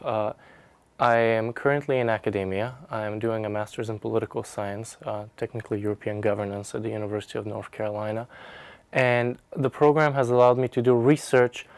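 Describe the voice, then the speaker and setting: A man's voice: fairly low pitch, dry recording. A young man from Bulgaria giving a personal testimonial in articulate, formal academic language. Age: young adult. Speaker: research scholar, graduate student. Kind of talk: prepared personal testimonial to camera.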